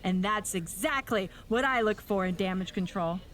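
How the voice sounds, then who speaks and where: A woman's voice: mid-range voice, moderate pace, a bit bright, lightly acted. A woman playing a snide, scheming wrestling faction leader in a video game cutscene. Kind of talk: scripted game cutscene dialogue.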